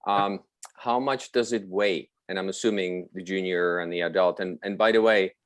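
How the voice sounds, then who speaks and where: Deep-voiced man from the Czech Republic. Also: steady tone, moderate pace, no echo. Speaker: conference attendee asking a question. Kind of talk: video call question. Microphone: video call.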